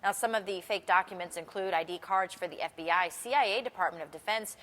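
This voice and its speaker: A woman's voice: lowish voice, fast, fairly bright tone. Reverberant American woman anchoring morning news. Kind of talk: broadcast news delivery, scripted.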